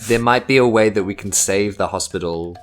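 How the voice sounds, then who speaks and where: A man with a New Zealand accent and a low voice chats as a player in a tabletop game. His talk is casual and improvised, with faint music underneath. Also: fairly quick.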